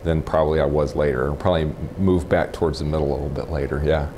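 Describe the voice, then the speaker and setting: An American man in his forties speaks in a very deep bass register. He answers as an interviewee, matter-of-fact and a little halting. A lapel mic picks him up indoors with faint noise behind. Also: steady tone. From Texas, United States. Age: 40s. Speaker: interviewee, nuclear physicist. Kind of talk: informal oral history interview, recollecting. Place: room in a house, indoors.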